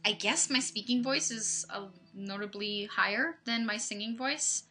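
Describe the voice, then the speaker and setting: A woman's voice: medium pitch, a little slow, pushed and bright. A woman in her twenties chatting casually to viewers on a webcam livestream from home, rambling with lots of fillers and restarts. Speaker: livestream host. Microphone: webcam microphone.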